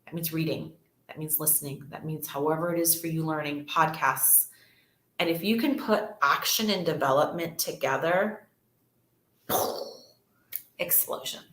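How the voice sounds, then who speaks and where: A woman's voice: sits low, moderate pace, pauses often, fairly bright tone, echoey room, poor audio. A woman, a real estate agent chatting casually and upbeat to livestream viewers, repeating words for emphasis.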